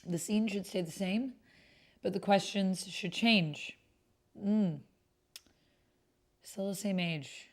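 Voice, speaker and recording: A woman's voice: medium pitch, slow, pauses often. A woman hosting a livestream, reading text aloud and breaking into casual, chatty asides. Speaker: livestream host and tabletop roleplay player. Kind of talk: casual livestream commentary mixed with reading chat messages aloud.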